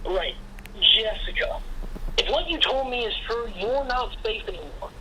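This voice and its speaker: A woman's voice: a little high, animated, measured pace, noisy background. A woman playing a brash, paranoid conspiracy theorist in an audio drama, blunt and urgent, through a telephone filter. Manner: urgent, blunt, salty-tongued.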